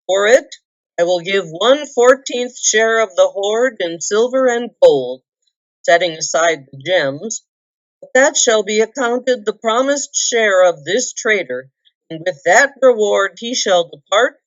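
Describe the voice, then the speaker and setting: A woman voicing a proud, bitter male dwarf king in a storybook reading, grim, forceful and commanding. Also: mid-range voice, natural voice. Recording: dull lo-fi roomy recording.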